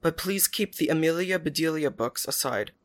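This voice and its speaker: A brisk, businesslike schoolteacher woman, as a man reading a story puts her on. She hands out instructions in a plain, matter-of-fact way. The voice sits a little high. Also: close to an everyday voice. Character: brisk adult female schoolteacher.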